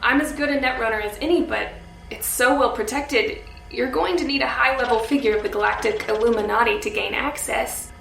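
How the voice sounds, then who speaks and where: A woman's voice: on the high side, fairly quick, creaky, fairly bright tone, a little hiss or hum. A woman acting a cool, capable, matter-of-fact hacker in an audio drama. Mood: focused. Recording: roomy echo, music underneath.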